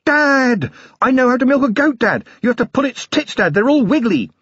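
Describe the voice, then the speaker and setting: A man voicing an excited young boy who chatters in a high, breathless way. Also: moderate pace, breathy edge, no room sound, dull audio, heavily acted.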